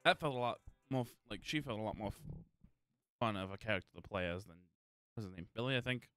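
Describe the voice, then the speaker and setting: A man's voice: on the low side, fast talker, a bit bright, smooth, no room sound, studio quality. A man giving casual commentary as he plays a game, sometimes reading tutorial text aloud. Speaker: gaming streamer. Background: video game music.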